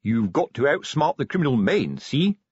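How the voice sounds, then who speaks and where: A man voicing a self-important, slow-witted middle-aged police sergeant in an audiobook, with a pompous, explaining manner. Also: mid-range voice, big pitch swings, a little mellow, breathy edge, no room sound, muffled recording, pitched above the performer's own voice. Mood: smug lecturing. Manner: pompous, explanatory, tag questions.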